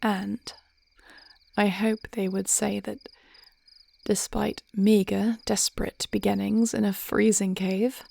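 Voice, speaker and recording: Woman acting a creaky-voiced, thoughtful fantasy dragon queen. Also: mid-range voice, flat delivery, darkish tone, full character voice. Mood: tender, loving. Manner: measured, reflective, tender, formal phrasing. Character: thoughtful, devoted dragon queen wife planning the future. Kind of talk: intimate fantasy audio roleplay acting.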